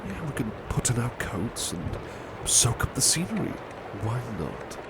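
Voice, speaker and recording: A man playing a huge, gentle, devoted butler in an ASMR roleplay, speaking in a halting, formal, softly reassuring way. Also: medium pitch, huge pitch swings, very noisy. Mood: gentle, musing. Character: huge, gentle, devoted male butler, fanged, red-eyed. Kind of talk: ASMR roleplay, soothing personal attention.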